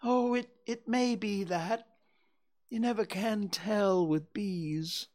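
A reader putting on Pooh, a slow, fretful toy bear. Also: mid-range voice, a little mellow, voice pushed up, close to an everyday voice. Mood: anxious. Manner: slow, ponderous, hesitant with stammers. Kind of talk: storybook reading.

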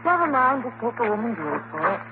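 An actress playing a pert, knowing young newspaper reporter in a poised 1940s radio manner, dry and teasing. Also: female voice, a little high, sing-song, fast talker. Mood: sure, knowing. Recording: noisy, tinny 1940s radio recording.

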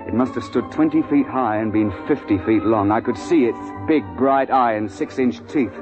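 A brisk, seasoned hunting guide acted by a man from a 1950s radio serial. He speaks with clipped old-boy authority and an exasperated edge. The sound is dull and dated, with clear music behind it. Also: medium pitch, lightly acted. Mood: vivid storytelling. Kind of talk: 1950s radio drama acting and narration.

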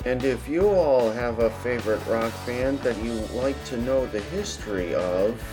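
A man presents a music podcast in a medium-pitched voice, with music playing behind him. He delivers intros and prepared interview questions in a polite, formal manner. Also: unhurried.

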